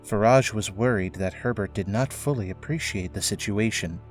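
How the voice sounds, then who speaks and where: A man's voice: lowish voice, normal speed. A man reading scripted narration for an audio drama, in a storytelling style. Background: faint music.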